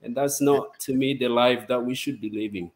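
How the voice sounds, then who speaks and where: Brief: young South Sudan-born man, guest on a video call, relaxed and chatty. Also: mid-range voice, moderate pace. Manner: relaxed, chatty, laughs easily. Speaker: interview guest. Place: home office.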